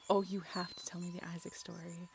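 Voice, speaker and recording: A woman's voice: lowish voice, gravelly, a little hiss or hum. A woman playing an affectionate, grateful birthday celebrant and werewolf's partner in an intimate roleplay audio drama. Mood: amused, teasing. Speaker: voice actor.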